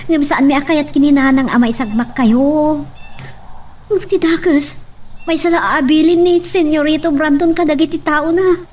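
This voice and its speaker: A woman's voice: high voice, fairly even intonation, normal speed, a little mellow, distant mic, close to an everyday voice. A woman voicing a worried young daughter in a radio drama.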